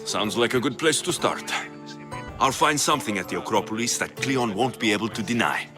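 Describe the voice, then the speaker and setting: Male game lead, acted: determined young Greek warrior, medium pitch, terse and serious delivery, backed by music. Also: brisk, close to an everyday voice. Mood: businesslike. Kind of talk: video game dialogue acting.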